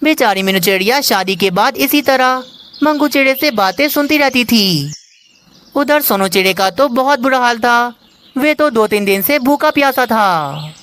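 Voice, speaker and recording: A woman reading scripted narration for a children's animated story. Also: a little high, normal speed.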